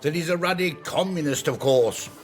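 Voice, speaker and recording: An actor playing a bluff, condescending wealthy husband in a period radio drama, hearty and jocular with a sneer. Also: male voice, a little high, moderate pace, lightly acted. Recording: music behind the voice.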